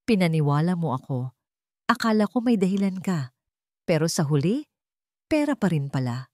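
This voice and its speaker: A woman's voice: on the low side, big pitch swings, moderate pace, dry recording, studio quality. A woman voicing a wealthy male boss who feels betrayed, bitter and accusing in a radio-style love drama. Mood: bitter, wounded. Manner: bitter accusing, shouts commands. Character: angry betrayed wealthy male boss, cold then explosive.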